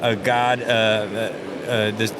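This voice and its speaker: A man hosting a podcast in casual conversation, halting and repeating words as he thinks. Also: fairly low pitch, level tone, crowd noise. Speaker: podcast host. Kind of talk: casual interview chat. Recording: clear background noise.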